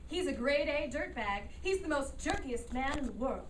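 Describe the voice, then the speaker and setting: A declarative television announcer, played by a woman in a staged reading. The voice is high and distant, with faint noise around it. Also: lively intonation, moderate pace. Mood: announcing. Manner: presenterly, declarative. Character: television announcer introducing shows and parody ads.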